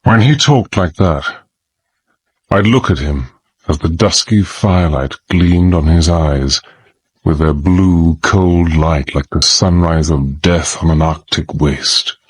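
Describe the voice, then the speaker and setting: A low, husky gentleman adventurer. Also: takes it slowly, full character voice. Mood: grave, confiding. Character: gentleman adventurer hero narrating his dangerous undercover exploit. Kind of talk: dramatized first-person narration.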